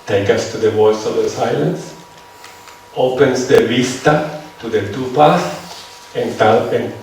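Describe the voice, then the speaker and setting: A man's voice: medium pitch, takes it slowly, a little mellow. Man with a Venezuelan accent lecturing in an echoey hall. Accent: Venezuelan Spanish. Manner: conversational, checks on listeners with "right?". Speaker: seminar lecturer. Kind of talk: lecture with audience questions. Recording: echoey hall, mic far off, faint noise.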